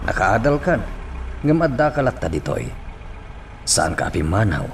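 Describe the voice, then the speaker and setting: A kindly older family friend from a radio drama. His low voice moves expressively as he urges, with faint noise behind him. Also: big pitch swings, normal speed. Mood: urging.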